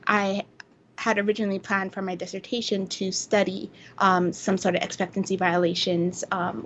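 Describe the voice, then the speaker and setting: A woman from Hawaii speaking as a researcher guest, explaining things casually in a halting, thoughtful way on a lo-fi recording. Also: mid-range voice. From Hawaii, United States. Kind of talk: casual explanatory interview answers. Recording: dull lo-fi sound with faint noise.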